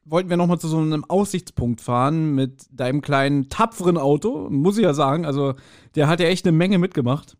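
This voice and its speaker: Higher-voiced man in joking podcast chat. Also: fairly quick. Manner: joking, teasing, animated storytelling. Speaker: podcast co-host.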